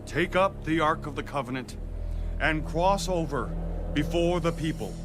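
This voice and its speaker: A man playing a solemn, commanding leader of his people in a dramatized Bible, declaiming deliberately. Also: a little high, music in the background, close to an everyday voice. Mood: solemn proclaiming. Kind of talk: dramatized audio Bible acting.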